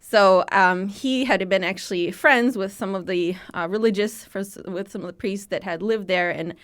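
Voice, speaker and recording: Guest: woman, 40s, from Chicago, lively storyteller acting out quoted people, middle-pitched. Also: moderate pace. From Chicago, USA. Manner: animated storyteller who voices the people she quotes. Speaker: guest, archives founder. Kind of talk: conversational interview storytelling.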